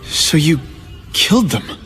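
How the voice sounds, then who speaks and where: A male actor playing an earnest young superhero in a video game, with a casual, slightly awkward delivery and a lightly husky voice. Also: on the high side, sing-song, takes it slowly, fairly bright tone, soft background music, full character voice. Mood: curious, guarded.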